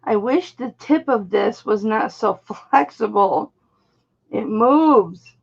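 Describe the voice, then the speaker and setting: Hoarse, gravelly woman vlogger. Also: fairly high pitch, lively intonation, slow pace, lo-fi sound. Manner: chatty, exclaims when frustrated. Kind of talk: casual chat while testing stones.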